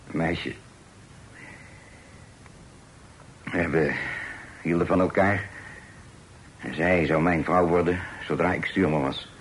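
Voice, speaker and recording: An actor in a radio play voicing a proud sailor turned outlaw, speaking in a low, earnest and emphatic way. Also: male voice, slight background noise, close to an everyday voice, low-quality recording. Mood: confessing.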